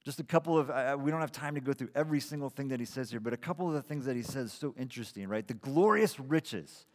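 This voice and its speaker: A pastor of about forty speaks to his congregation in an easy, self-deprecating way, often restarting words. His middle-pitched voice rings with the long reverb of a church hall. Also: fast. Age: 40s. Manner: conversational, self-deprecating preaching with repeated starts. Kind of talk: sermon.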